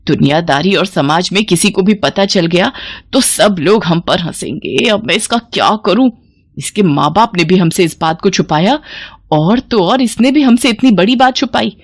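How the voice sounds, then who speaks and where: A woman's voice: lowish voice, expressive, moderate pace, no echo. A woman acts out an older mother-in-law from a cartoon family tale. She is emotional and emphatic, doting or scolding with full drama. The sound is close and dry. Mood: angry, indignant. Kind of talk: animated moral story voice acting.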